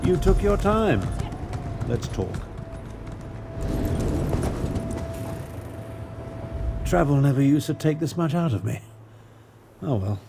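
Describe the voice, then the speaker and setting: An actor playing a stern, scholarly mage in a video game, speaking in formal, grave, deliberate phrases. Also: male voice, a little high, big pitch swings, vocal fry, noisy background. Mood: weary.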